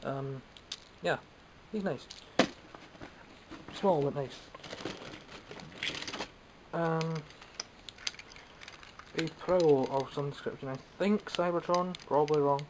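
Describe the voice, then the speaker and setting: A man's voice: a little high, slow, pauses often, noisy background. Wry Scottish man rambling about toys. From Scotland. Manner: wry, rambling and self-mocking. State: phlegmy, coughing. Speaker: toy collector vlogger. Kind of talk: casual unscripted toy haul chat. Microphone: camera mic, speaking from behind the camera.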